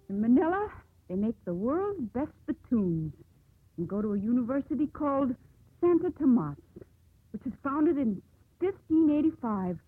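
An actress playing a bold young sea captain's daughter in a 1950s radio drama, with a thin old broadcast sound. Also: female voice, fairly high pitch, phone-quality sound. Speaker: lead actress and narrator.

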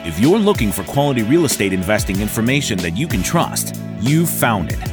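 A man reads a polished show intro with a lively, rising and falling voice. Guitar music plays clearly behind him. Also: lowish voice, brisk. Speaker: show announcer. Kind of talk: scripted promo voice-over.

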